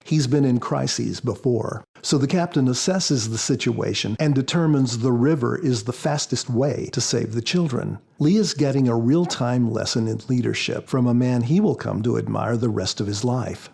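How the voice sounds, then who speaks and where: Guy author on a podcast, raspy creaky voice, mid pitch, explaining stuff. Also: normal speed, hardly any pauses, darkish tone. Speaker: author interviewed as guest.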